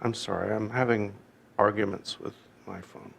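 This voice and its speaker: A Canadian man with a low, gravelly voice gives an unhurried Buddhist teaching. He wanders off on asides, restarts his sentences and pokes fun at himself. His low pitch carries a steady rasp of vocal fry. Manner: halting, digressive, wry self-deprecating humor. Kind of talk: informal dharma teaching.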